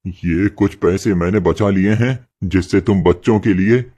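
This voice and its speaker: Man acting a poor, patient village husband, low-pitched. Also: measured pace. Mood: patient, resigned. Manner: gentle, pleading and apologetic toward his boss. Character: poor meek labourer husband, patient and self-sacrificing. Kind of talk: animated moral story acting.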